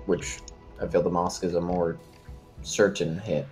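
A man's voice: low-pitched, flat delivery, moderate pace, a little mellow. A man playing a tabletop game, chatting casually with joking, crude banter. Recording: music behind the voice. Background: background music.

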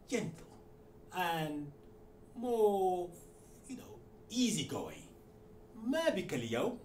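A middle-aged man with a high voice that rises and falls a lot, teaching at a whiteboard. He goes slowly and repeats each phrase, lifting the model lines above his explanations. The sound is roomy and a bit distant, with a faint hiss behind him. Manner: slow, repeating each phrase several times; stresses the Arabic model phrases louder and higher. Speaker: Arabic teacher. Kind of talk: classroom lesson at a whiteboard. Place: room in front of a whiteboard.

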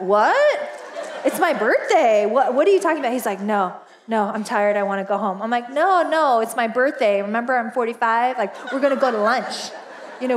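High-voiced chatty woman pastor in her forties. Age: 40s. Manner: chatty and animated, laughs often, lots of fillers. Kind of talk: casual storytelling sermon. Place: church stage, large indoor auditorium.

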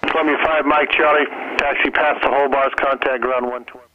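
A man's voice: medium pitch, steady tone, fairly quick. A man working as an air traffic controller, giving clipped instructions over aircraft radio. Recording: dull radio audio, faint static and clicks.

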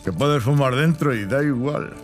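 An actor playing a gruff older male rogue in a game cinematic, a wry, cautious mentor with a dry, laconic delivery. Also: mid-range voice, normal speed, faint music behind, lightly acted. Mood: wry, fond. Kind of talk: game cinematic acting, Spanish dub.

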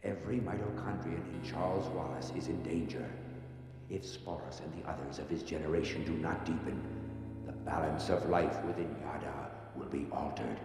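A man voicing a solemn, wise cherubim guide in an audio drama, grave and deliberate, sometimes breathy. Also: very deep voice, fairly even intonation. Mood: grave warning. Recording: cavernous long reverb, music underneath.

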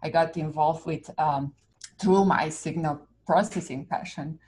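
A woman, speaking on a panel over a video call from home and pausing often with "um" and "uh". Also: low voice, steady tone, darkish tone, slight background noise. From Romania. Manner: hesitant, many "um" and "uh" fillers. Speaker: panelist, researcher. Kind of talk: academic panel talk. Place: home room. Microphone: laptop webcam on a video call.

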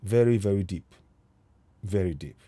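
A man's voice: sits low, normal speed, dark tone, dry recording. A middle-aged man hosting his own online show, earnestly reflecting on a video and repeating phrases for emphasis, speaking through a headset. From Yoruba Nigeria. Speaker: host. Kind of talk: reflective commentary on a played video. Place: home room with headset. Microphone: headset mic.

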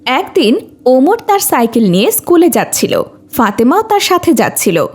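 A woman's voice: high voice, very animated, normal speed, breathy edge, soft background music. A woman narrating a scripted children's story.

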